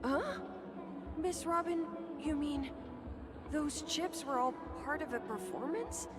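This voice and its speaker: A woman voicing an excitable, eager young female guest in a video game, starstruck and flustered. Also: high voice, slow, over loud music. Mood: puzzled, hesitant.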